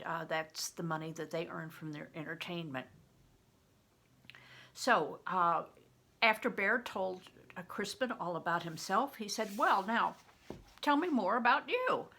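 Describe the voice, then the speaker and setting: An older woman, a retired teacher, reading aloud and explaining as she goes in a halting, teacherly way. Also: on the low side, sharp tone. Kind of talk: read-aloud with explanatory asides.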